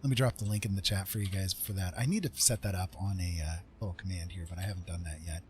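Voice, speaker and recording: A man with a husky voice hosting a casual livestream, talking in a rambling, joking way. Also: sits low, fairly even intonation, quick pace, slight background noise. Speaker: streamer host.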